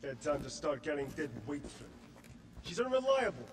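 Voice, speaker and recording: An actor playing a cold, ruthless mercenary villain in a video game, sneering and scornful. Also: male voice, a little high, very animated, moderate pace. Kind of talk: video game cutscene acting. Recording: music behind.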